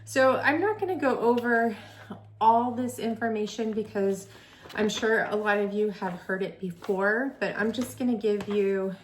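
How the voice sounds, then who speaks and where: Forties female esthetician vlogger, enthusiastic and conversational, mid-pitched. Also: unhurried, a little hiss or hum. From California. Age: 40s. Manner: chatty, enthusiastic, reads specs aloud. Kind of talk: product review vlog.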